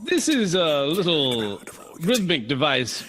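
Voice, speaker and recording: A man playing a suave, domineering older jazz bandleader in a period audio drama, husky and smooth-talking with showy, pompous phrasing. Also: fairly high pitch, big pitch swings, takes it slowly, background noise. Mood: cool, playful showmanship. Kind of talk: period radio drama acting.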